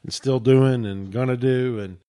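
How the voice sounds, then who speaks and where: A man co-hosting a podcast, talking casually in an earnest, supportive way with slow, deliberate phrasing. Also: mid-range voice, steady tone, mellow, clear, resonant tone, no echo. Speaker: podcast co-host.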